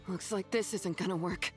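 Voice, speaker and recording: A woman's voice: medium pitch, gravelly, slightly rough, full character voice. A woman voicing a spirited young woman competing in a beast-racing contest in a video game, who talks with sporty, encouraging banter.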